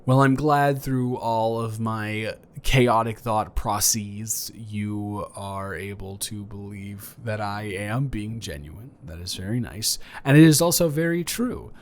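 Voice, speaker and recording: A man's voice: lowish voice, slow pace, hardly any pauses. A man playing a flirty, awkward, kind neighbor in an improvised roleplay audio, stammering, rambling and correcting himself in a casual conversational way. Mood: friendly, rambling.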